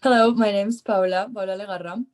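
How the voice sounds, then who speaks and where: A woman's voice: medium pitch, fairly even intonation, quick pace, darkish tone. A young woman from Spain with a Spanish accent, introducing herself as a college student. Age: young adult.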